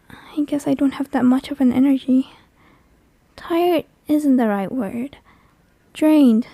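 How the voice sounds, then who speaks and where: Woman doing a clingy lonely lady demon for asmr roleplay, high breathy half-whisper, unsure and yearning, super close dry mic. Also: no echo. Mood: drained. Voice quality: soft, breathy, partly whispered. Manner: slow, hesitant, intimate. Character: lonely, clingy female sleep paralysis demon, uncertain and yearning. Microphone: close microphone, dry.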